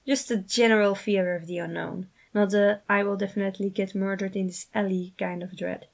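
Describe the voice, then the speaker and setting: A cautious, well-meaning young man, read by a woman, hesitant and a little timid. The voice stays at a medium female pitch, in a storybook reading style. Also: steady tone, fairly quick, vocal fry. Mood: nervous, reflective. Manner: hesitant, muttering. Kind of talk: fan fiction character reading.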